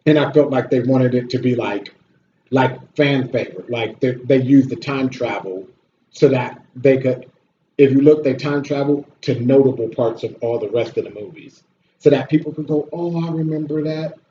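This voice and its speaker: Man, mellow voice, casual opinionated chat, blunt, complaining, echoey room, slightly dull recording. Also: medium pitch, normal speed. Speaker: video host and movie reviewer. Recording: roomy echo, dull audio, slight noise.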